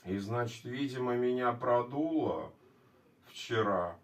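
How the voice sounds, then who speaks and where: A man vlogging casually to his viewers with a sore, scratchy throat, speaking in a halting, repetitive, plain way. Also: mid-range voice, animated. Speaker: video blogger. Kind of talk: casual vlog talk to viewers. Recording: distant microphone.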